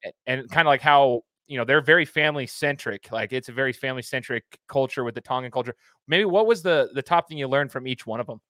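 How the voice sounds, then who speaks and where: A man's voice: medium pitch, fast talker, a bit bright, dry recording. A man from Idaho hosting a podcast, talking in an enthusiastic, buddy-like, slangy way. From Idaho, USA. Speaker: podcast host. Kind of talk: casual interview chat.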